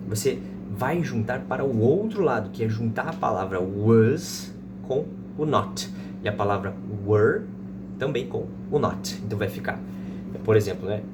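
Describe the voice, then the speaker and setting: Casting: Brazilian man, thirties, didactic tutor who repeats examples, recorded away from the mic in heavy noise. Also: medium pitch. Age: 30s. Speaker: English teacher. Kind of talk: informal explanatory language lesson with slow example sentences. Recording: distant mic, loud background noise.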